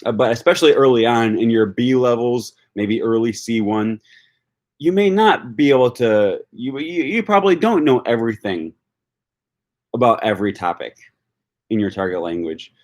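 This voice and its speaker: A man's voice: medium pitch, animated, moderate pace, a little mellow. A man from Wisconsin with a Wisconsin accent, chatting casually on a livestream and answering viewer comments, thinking aloud with frequent fillers and restarts. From Wisconsin, USA. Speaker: language-learning YouTuber answering live chat.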